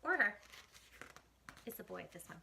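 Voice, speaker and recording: A woman hosting storytime, reading a picture book aloud to children with animated, dramatic emphasis and hushed asides. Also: mid-range voice, normal speed, creaky, slight background noise.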